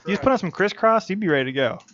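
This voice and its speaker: A man's voice: high-pitched, lively intonation, rapid-fire, fairly bright tone. A man commentating a live poker stream, calling hands and teasing his co-host with jokes. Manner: joking, teasing banter. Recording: dull stream audio with faint hiss.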